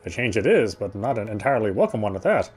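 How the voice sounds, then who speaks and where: A man reading first-person story narration aloud in a theatrical, fussy gentleman's manner. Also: fairly low pitch, sing-song, fast, slightly rough, soft background music. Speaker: game player reading aloud.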